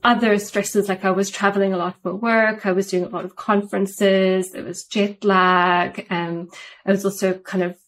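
Guest: South African woman, 30s, measured storyteller, mid-pitched, webcam at home. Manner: thoughtful, steady storytelling with frequent ums. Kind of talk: video call interview, personal story. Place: home room with shelves and plants, indoors. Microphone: video call webcam.

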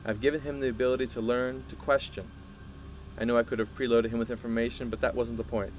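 An actor playing an earnest, secretive male scientist in an audio drama, speaking in a measured, formal way. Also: medium pitch, level tone, a little hiss or hum, natural voice. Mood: proud, explaining.

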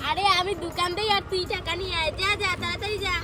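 Woman voicing a very high, eager little girl doll. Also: fairly even intonation, quick pace, loud music behind the voice. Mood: excited. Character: eager little village girl doll selling clay toys. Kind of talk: homemade doll-play cartoon dialogue.

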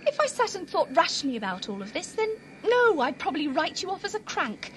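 Very high-voiced, anxious mother played by a woman. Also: very animated, fairly quick, a bit bright, slight background noise, full character voice. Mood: determined. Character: frightened but determined English mother, anxious, demanding. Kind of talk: fan audio drama acting.

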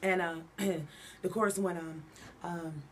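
A hoarse woman in her thirties, a singer chatting as a guest in a small radio studio, laughing and calling out praise. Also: on the low side, steady tone, a little slow, a little hiss or hum. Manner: laughing, gospel-tinged exclamations. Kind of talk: casual radio chat. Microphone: studio boom mic with pop filter.

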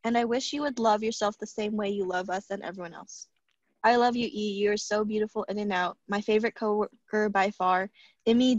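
A woman's voice: mid-range voice, no echo. A young woman casually reading tributes aloud over a video call. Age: young adult. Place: indoor room at home on a video call. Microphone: video call laptop mic. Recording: dull, lo-fi video call audio.

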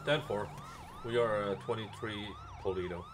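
A man's voice: on the low side, moderate pace, background noise. A man chatting casually and crudely while role-playing a paramedic in an online game. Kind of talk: casual in-game roleplay chat.

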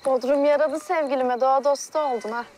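A woman's voice: high voice, steady tone, brisk, a little mellow, slight background noise, lightly acted. An actress playing a teasing, affectionate young woman, outdoors at night. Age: young adult. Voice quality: playful teasing. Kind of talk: TV series acting.

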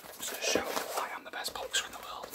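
A cocky champion boxer acted out by a young man brags in a breathy whisper. He repeats his boasts with total self-assurance, in an ASMR comedy skit with a crisp, bright hiss to the voice. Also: rapid-fire, distant mic. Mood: boastful, instructing. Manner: bragging, repetitive. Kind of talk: ASMR roleplay.

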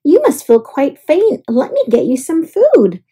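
A woman's voice: high voice, huge pitch swings, unhurried, dark tone, pitched above the performer's own voice, full character voice. A woman voicing a kind, helpful young boy in a storybook, exclaiming and fussing earnestly. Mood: caring.

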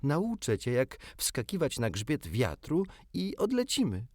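Give us a man acting a storybook boy: pitched up, lively, sly and coaxing, eager to win someone over. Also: measured pace, no echo, clean studio sound, heavily acted. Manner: sly, persuasive.

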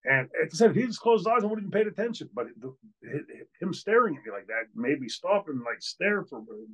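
Husky-voiced older man telling a story. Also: fairly high pitch, sing-song, fast talker, a bit bright, poor audio. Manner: candid, outraged, many fillers and restarts. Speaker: podcast host, former wrestler. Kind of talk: storytelling in an interview. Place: hotel room, indoors. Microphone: video call, webcam.

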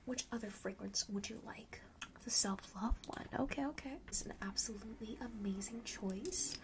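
A woman's voice: fairly high pitch, noisy background. A woman whispering breathily in a chatty, playful ASMR roleplay as a boastful fragrance seller, often repeating phrases for emphasis. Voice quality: breathy whisper, mostly unvoiced. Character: friendly, boastful enchanted-forest perfume seller.

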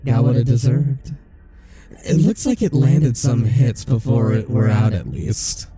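A man's voice: mid-range voice, measured pace, over a noisy crowd, close to an everyday voice. A man voicing a cocky, hot-tempered thug who swaggers and swears in a horror audio drama. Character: cocky, foul-mouthed male thug, hot-tempered and taunting.

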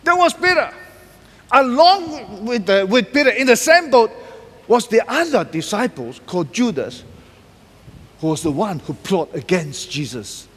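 Middle-aged man, pastor, slow emphatic sermon delivery, big hall reverb. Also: sits high, sing-song, a bit bright, breathy edge. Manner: emphatic, repeats key words, pacing and gesturing widely. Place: large church stage decorated for Christmas.

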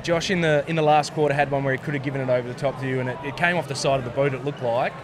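An Australian man co-hosting a post-game podcast, asking upbeat questions at a boom mic. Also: steady tone, racing. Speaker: podcast co-host. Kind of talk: casual post-game interview. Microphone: boom mic on arm, headphones. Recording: noisy busy room.

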